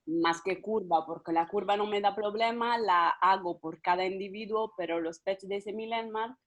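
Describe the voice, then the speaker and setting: Italian woman asking haltingly in Spanish. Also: medium pitch, muffled recording. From Italy. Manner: hesitant, searching for words. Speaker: student asking a question. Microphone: video call.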